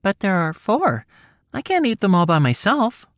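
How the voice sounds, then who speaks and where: A curious, earnest young boy from an audio storybook, acted out by a male narrator, pitched high and swinging freely up and down. He speaks with innocent frankness and is full of questions. The sound is close and dry. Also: wildly swooping pitch, normal speed, fairly bright tone, no room sound, full character voice.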